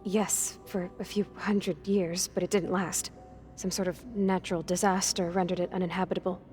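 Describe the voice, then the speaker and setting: A woman's voice: lowish voice, flat delivery, normal speed, darkish tone, soft background music, lightly acted. A woman playing a nervous, clinical young clone. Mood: guarded. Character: anxious young woman clone, fearful, skittish. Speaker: game character. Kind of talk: video game cutscene acting.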